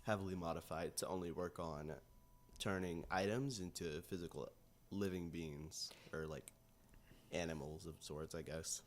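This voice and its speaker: Deep-voiced young man chatting. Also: steady tone, normal speed. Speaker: podcast co-host. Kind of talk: casual chat.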